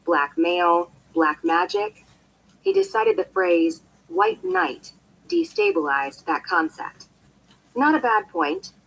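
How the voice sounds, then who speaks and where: A woman reading a scripted nonfiction audiobook in an expressive, emphatic storytelling manner. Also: on the low side, vocal fry. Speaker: audiobook narrator. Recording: poor amateur copy, faint background noise.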